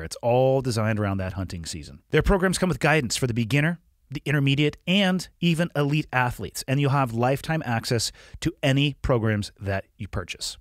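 A man with a head cold reads podcast ads, his voice a little nasal. His pitch swings up and down a lot as he pushes each pitch with emphatic energy. The sound is dry and close, with no room around it. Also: no room sound. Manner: upbeat, emphatic sales pitch. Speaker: podcast host reading ads. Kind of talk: ad read.

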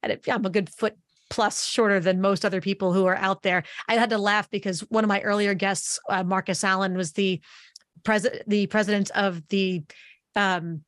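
A woman in her forties hosting a podcast interview, chatty and lively, speaking into a boom mic while wearing headphones. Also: moderate pace, no echo, clean studio sound. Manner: energetic, talks with her hands, restarts phrases. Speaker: podcast host. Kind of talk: conversational interview. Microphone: boom mic on arm, wearing headphones.

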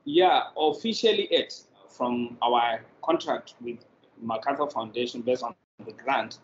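A man's voice: mid-range voice, unhurried. A middle-aged man with a Nigerian accent explaining his work as an interview guest on a video call. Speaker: general manager, interview guest. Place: indoors in an office room on a video call. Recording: dull, echoey, faintly noisy video call.

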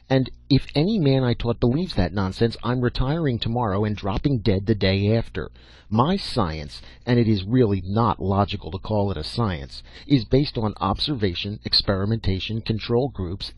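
A man's voice: fairly low pitch, animated, moderate pace, slightly rough, no echo. A man voicing a crusty old professor in an audiobook, lecturing bluntly and with emphasis. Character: old, crusty, commanding male professor and mentor. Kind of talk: audiobook character acting. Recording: dull lo-fi audio.

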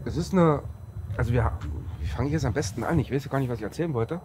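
Fast-talking German man outdoors, a bit hoarse. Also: mid-range voice, noisy background. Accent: German, Halle region. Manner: chatty, rambling, with fillers and restarts. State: slightly hoarse from a recent cold. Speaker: tuning shop presenter. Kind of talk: casual on-camera car walkaround. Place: outdoors on a sunny industrial lot.

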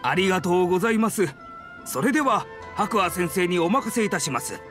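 High-voiced, deferential editor. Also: male voice, expressive, a little fast, over music. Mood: earnest, grateful. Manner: very polite, deferential business speech. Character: courteous, apologetic, earnest male publishing editor. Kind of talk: Japanese anime game dub.

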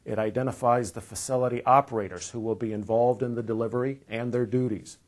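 A man in his fifties with an American accent presents scripted training material in a measured, explanatory way. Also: mid-range voice, steady tone. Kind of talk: scripted instructional narration. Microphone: lapel mic.

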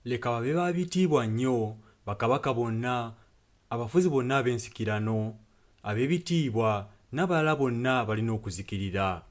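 A man's voice: mid-range voice, lively intonation, a little fast. A man interpreting a sermon and prayer solemnly, phrase by phrase. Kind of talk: consecutive translation of a sermon and prayer.

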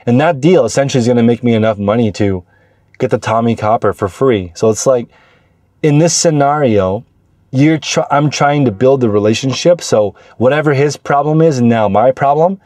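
A man's voice: mid-range voice, moderate pace, a little mellow, no echo, studio quality. An American man in his forties talking casually as a vlog host, explaining things in a conversational, anecdotal way into a lapel mic in a car. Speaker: host, reseller vlogger. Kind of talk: casual explanatory monologue to camera. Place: inside a parked car. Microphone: lapel microphone clipped to shirt.

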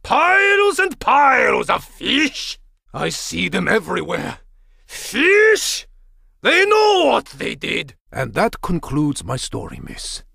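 A deranged video game sushi chef, obsessed and paranoid. The voice is gravelly and fairly high, swinging wildly in pitch through theatrical rants, recorded very dry. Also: male voice, wildly swooping pitch, slow pace, sharp tone, dry recording, heavily acted. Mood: paranoid, fervent. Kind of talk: video game character acting.

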